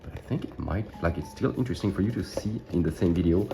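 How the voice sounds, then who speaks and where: A man's voice: low-pitched, fast talker, gravelly, noisy background. A man, sick and a little unclear of voice, chatting casually through a wargaming unboxing as the channel's host, thinking aloud and correcting himself as he goes. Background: background music.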